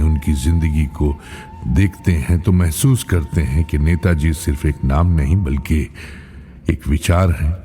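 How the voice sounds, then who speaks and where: A man with a very deep voice narrates a scripted tribute over faint music. His delivery is slow and solemn, reverent in manner, with measured pauses between short phrases. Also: dark tone. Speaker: political party spokesperson reading a tribute.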